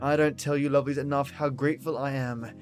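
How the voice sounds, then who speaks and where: A man's voice: mid-range voice, fairly even intonation, fast talker. A man hosting a podcast, effusive and chummy as he addresses listeners in a scripted outro. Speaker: podcast host. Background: plucked guitar music.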